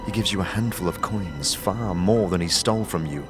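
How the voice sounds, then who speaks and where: A man's voice: low-pitched, fairly quick, music in the background. A man narrating a fantasy tale in the second person as dramatic audio storytelling.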